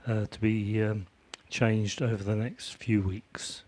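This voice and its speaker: A man's voice: on the low side, little pitch movement, takes it slowly, a little mellow. A man speaking up from the audience at a community meeting, hesitant with many fillers. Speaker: audience member, volunteer who built the website. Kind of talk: meeting discussion.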